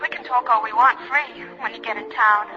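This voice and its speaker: A woman's voice: on the high side, steady tone, brisk, lightly acted. An actress playing a woman on a long-distance call, with an American accent, in 1940s radio style. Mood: affectionate. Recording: muffled 1940s radio, faint music.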